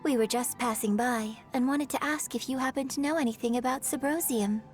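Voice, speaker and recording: A woman's voice: high voice, a little fast, soft background music, close to an everyday voice. An actress voicing a courteous, composed young woman in a fantasy game. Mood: polite. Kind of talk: game voice acting.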